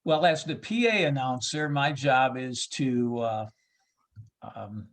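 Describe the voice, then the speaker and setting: A man who works as a baseball PA announcer, chatting casually as an interview guest, often halting as he thinks aloud. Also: medium pitch.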